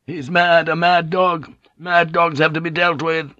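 A man's voice: mid-range voice, flat delivery, moderate pace, no room sound, dull audio, lightly acted. A man voicing a menacing, furious Japanese warlord with a raw voice.

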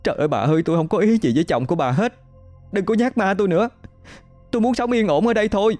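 A man's voice: animated, darkish tone, soft background music. A man voicing a frightened young female student who protests and pleads through tears. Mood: pleading. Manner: shrill, sobbing, rapid protesting.

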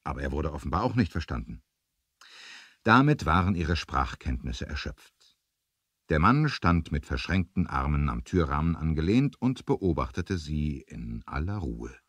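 Very deep, animated male storyteller. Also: moderate pace, slightly rough, no room sound. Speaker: narrator. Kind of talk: dramatic scripted storytelling for a 1970s adventure radio play.

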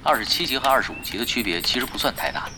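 A man's voice: medium pitch, expressive, racing, noisy background, close to an everyday voice. A man playing a laid-back, confident master gamer in an audio drama, speaking nonchalantly. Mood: casual confidence. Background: music.

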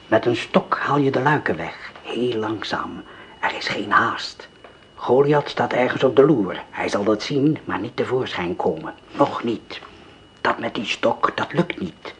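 An actor playing a cold male gang leader in a radio drama, who gives orders in a calm, precise way. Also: mid-range voice, a little slow, a little hiss or hum.

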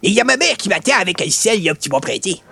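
A man playing a cheerful, folksy, cheeky old grandpa with a Québécois accent and a creaky old voice, in a radio comedy serial. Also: sits high, very animated, quick pace, bright, forceful tone, soft background music, exaggerated voice. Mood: cheerful, oblivious. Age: older. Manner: folksy, drawling exclamations and repeated tag words. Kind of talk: comedy radio serial acting in 1940s manner.

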